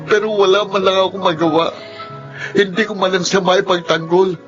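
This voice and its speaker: A man plays a troubled young Filipino son in a radio drama, earnest and aggrieved. His fairly high voice sits in a dull recording with clear music behind. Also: steady tone, moderate pace, close to an everyday voice. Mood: pained, earnest. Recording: dull audio under clear background music.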